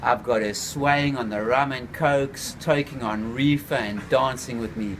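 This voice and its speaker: A man reading his own first-person novel aloud, laid-back and unhurried, with small slips. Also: mid-range voice, fairly bright tone, slight background noise.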